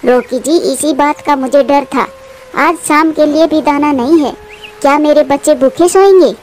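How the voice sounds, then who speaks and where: A woman's voice: high voice, moderate pace, darkish tone, slight background noise, natural voice. A woman voicing an anxious, devoted mother bird in a moral cartoon, earnest and pleading. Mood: worried. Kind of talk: Hindi moral cartoon dubbing.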